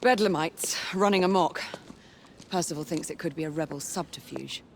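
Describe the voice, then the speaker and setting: A woman's voice: deep voice, normal speed, a little hiss or hum. An actress playing a tough, teasing female knight in a video game, with a poised, assertive manner. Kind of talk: video game cinematic acting.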